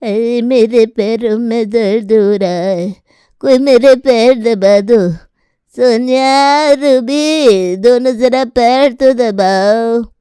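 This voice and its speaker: Woman acting bossy old mother-in-law, clear and high. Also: drawn-out words, warm tone, no echo, studio quality. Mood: demanding. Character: loud, bossy, shameless old mother-in-law, complaining and scolding.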